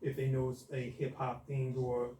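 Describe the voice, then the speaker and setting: A man hosts a radio talk show, setting up his questions with firm opinions in a measured, deliberate way. His voice is mellow and mid-pitched, and he sounds a bit away from the mic in a roomy studio. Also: completely flat delivery, distant mic, slight background noise. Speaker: radio host. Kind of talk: radio interview.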